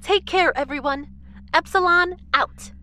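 A woman's voice: very high-pitched, unhurried, pushed and bright, slight background noise, exaggerated voice. A woman playing an eager, orderly moon base administrator in an audio drama. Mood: eager, helpful.

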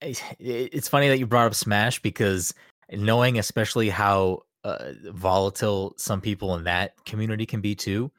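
A man chatting on a podcast, rambling eagerly with lots of fillers and quick laughs. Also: on the low side, fairly even intonation, fairly bright tone, dry recording, clean studio sound. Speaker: podcast co-host. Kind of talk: casual chat.

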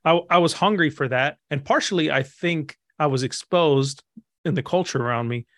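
A man in his thirties from Egypt, a pastor and author, answering interview questions in a thoughtful way, pausing and restarting as he thinks. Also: fairly high pitch, fairly bright tone, no echo, clean studio sound. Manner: reflective, thinks aloud with fillers and restarts.

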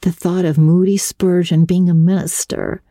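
A woman's voice: on the low side, flat delivery, moderate pace, warm tone, no room sound, studio quality. A woman playing an earnest, imaginative, chatty schoolgirl in her early teens, full of opinions and dramatic flourishes, in a storybook reading. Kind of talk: dramatic audiobook reading of classic novel.

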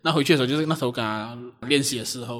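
A man with a Malaysian accent chatting casually in an animated, self-deprecating way about his own amateur performing. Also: mid-range voice, racing. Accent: Malaysian Chinese-language. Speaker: amateur audio drama performer. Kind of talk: casual chat.

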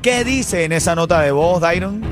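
A man's voice: high voice, animated, normal speed. A man hosting a radio show in casual Spanish slang, joking and bantering informally. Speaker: morning radio show host. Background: background music.

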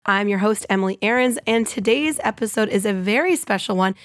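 A woman hosting her own podcast in an upbeat, chatty monologue, her voice a little scratchy and hoarse. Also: mid-range voice, fairly quick, no room sound, studio quality. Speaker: podcast host.

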